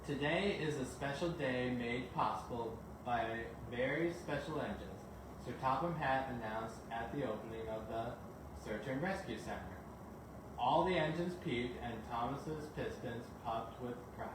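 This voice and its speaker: A man's voice: mid-range voice, unhurried. Male storybook reader in a very echoey room. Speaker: storyteller reading children's bedtime stories. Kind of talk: read-aloud children's storybook narration. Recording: very echoey, distant mic, noisy background.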